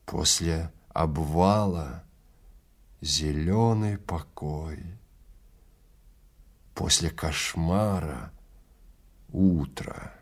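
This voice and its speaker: A man narrating a story in a theatrical, deliberate manner with dramatic pauses. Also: extremely low pitch.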